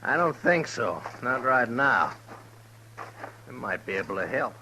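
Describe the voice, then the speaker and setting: An American man playing a menacing, vengeful outlaw gang leader in a 1950s radio western, threatening in a husky, hard-edged voice. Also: mid-range voice, big pitch swings, unhurried, a little hiss or hum, heavily acted, low-quality recording. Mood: cool menace. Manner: threatening, swinging between quiet and loud.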